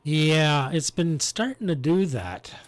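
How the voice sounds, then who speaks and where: A man hosting a live-streamed amateur radio session, chatting casually and pausing mid-sentence, speaking into a close broadcast microphone. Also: fairly high pitch, expressive, slight background noise.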